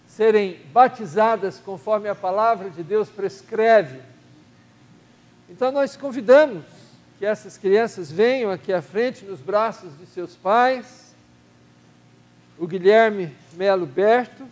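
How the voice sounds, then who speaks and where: Slow, solemn older man praying. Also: high-pitched. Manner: solemn and measured, softening at phrase ends. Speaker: church minister leading prayer. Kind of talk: slow formal congregational prayer. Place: large church sanctuary.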